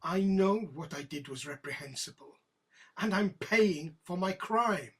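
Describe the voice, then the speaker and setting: An actor playing a guilt-ridden, disgraced British police detective in a drama, husky and halting, stumbling over repeated words. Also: male voice, high voice, animated, a bit bright, full character voice. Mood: subdued, remorseful. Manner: halting, slow, stammering repetitions. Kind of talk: audio drama acting.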